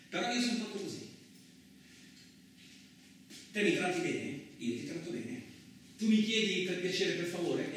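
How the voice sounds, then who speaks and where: Swaggering trucker in an echoey room. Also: male voice, sits high, fairly bright tone. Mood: taunting, menacing. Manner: colloquial, muttering asides, swaggering. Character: boastful, hot-tempered, rough-talking Italian truck driver. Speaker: actor. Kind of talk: theatrical radio monologue. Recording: very echoey, distant, lightly noisy room.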